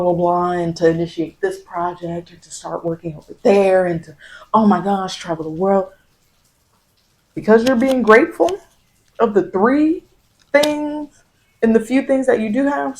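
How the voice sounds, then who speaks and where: A woman's voice: on the low side, darkish tone, low-quality recording. A woman improvising spiritual tarot guidance straight to the listener, encouraging and repeating phrases for emphasis. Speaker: tarot reader and ASMR host.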